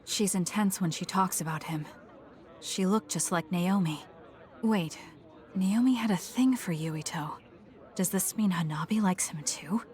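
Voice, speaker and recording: Actress as a lowish-voiced, blunt young game soldier. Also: female voice, measured pace, darkish tone, close to an everyday voice. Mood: musing. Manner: matter-of-fact, reserved. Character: cool, blunt, determined young female soldier. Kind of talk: video game voice acting.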